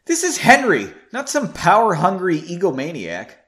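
A man's voice: sits high, very animated, a little slow, a bit bright, voice pushed up, heavily acted. A man voicing a hot-tempered, nosy young woman who scolds her friend emphatically in a storybook reading. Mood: angry yelling. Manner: indignant, emphatic.

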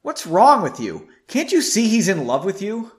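A man voicing an indignant, animated young woman. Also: high-pitched, a little slow, pitched above the performer's own voice, exaggerated voice. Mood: angry yelling. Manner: indignant, emphatic. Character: hot-tempered, nosy young woman scolding her friend. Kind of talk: amateur storybook reading.

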